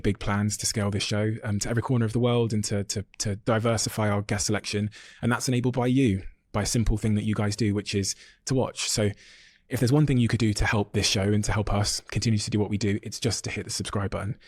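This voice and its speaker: Male podcast host, lowish voice, dry recording, fast direct address to listeners. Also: fairly even intonation, a little mellow, no room sound.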